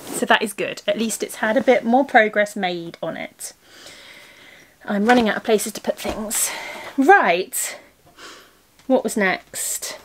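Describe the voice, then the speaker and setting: A woman with a hoarse, worn voice rambles cheerfully through a casual podcast chat. She talks the camera through her knitting projects piece by piece, correcting herself and wandering off on small asides as she goes. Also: mid-range voice, slow pace, pauses often. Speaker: crafting podcast host and yarn shop owner.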